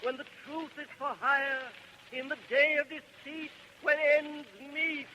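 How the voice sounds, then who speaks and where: An actor playing a stern prophetic orator who harangues a crowd with incantatory, declamatory delivery in an old radio verse drama. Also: male voice, very high-pitched, flat delivery, sharp tone, exaggerated voice. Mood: solemn incantation. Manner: slow, ringing, incantatory, oratorical. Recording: noisy old radio recording.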